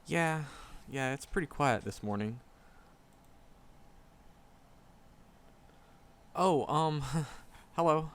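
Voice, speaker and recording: Man acting as a slow-talking, shy magic professor. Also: fairly high pitch, lively intonation, a bit bright, a little hiss or hum. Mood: nervous, friendly. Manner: stammering, hesitant, repeats words, flustered. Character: shy, nervous young male magic professor, earnest and skittish. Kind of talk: roleplay audio drama, spoken to the listener.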